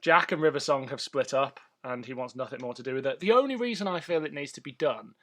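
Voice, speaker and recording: A man's voice: medium pitch, animated, quick pace, fairly bright tone. A man co-hosting a podcast in casual, chatty banter, with a fondness for detail. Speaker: podcast host. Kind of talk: casual banter between co-hosts.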